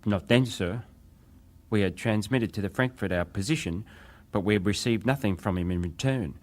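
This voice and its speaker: An actor playing a ship's wireless operator, a respectful but defensive witness giving clipped testimony in a dramatised hearing. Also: male voice, on the low side, moderate pace, close to an everyday voice. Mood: respectful, matter-of-fact. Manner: clipped, respectful, matter-of-fact.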